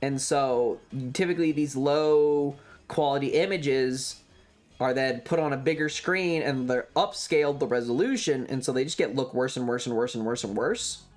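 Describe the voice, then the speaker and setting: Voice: medium pitch, moderate pace, a little mellow, faint music behind. A streamer chatting casually and opinionatedly on a livestream, rambling and repeating points, with some casual swearing. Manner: casually profane. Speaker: variety streamer.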